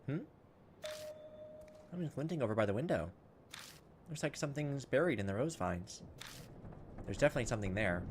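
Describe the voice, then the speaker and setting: A man's voice: mid-range voice, expressive, fairly quick, pauses often, a little hiss or hum. A man doing gameplay commentary, casually thinking aloud and reading visual novel text as he goes. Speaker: video game playthrough host.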